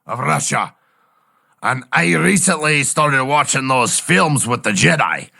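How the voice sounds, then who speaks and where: A man voicing a rowdy, drunk male dwarf who talks crude and yells. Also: mid-range voice, animated, a little slow, gravelly, full character voice. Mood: tipsy rambling.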